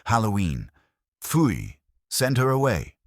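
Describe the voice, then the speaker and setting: A man voicing a massive, grumpy genius detective, curt, deliberate and formal. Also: fairly low pitch, huge pitch swings, no echo, clean studio sound, pitched above the performer's own voice, full character voice. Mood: gruff, imperious.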